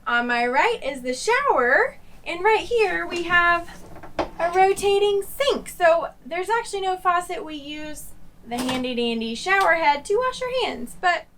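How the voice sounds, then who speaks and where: Female vlogger, high voice, upbeat show-and-tell, faint ambient noise. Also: expressive, unhurried, a bit bright. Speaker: travel vlogger. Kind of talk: casual tour narration. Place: small sleeper train cabin.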